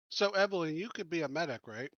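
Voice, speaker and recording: Higher-voiced man in casual game chat. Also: lively intonation, normal speed, fairly bright tone, no echo, lo-fi sound. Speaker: tabletop game player.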